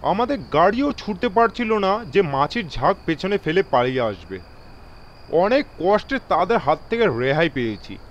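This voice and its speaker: Railway guard, acted by a man: alarmed, commanding, emphatic, very animated, dull-sounding horror radio play track. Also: high-pitched, moderate pace. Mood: disturbed. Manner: emphatic, mixes English phrases into his speech. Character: alarmed, authoritative railway guard telling of strange events. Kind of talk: dramatised horror audio story.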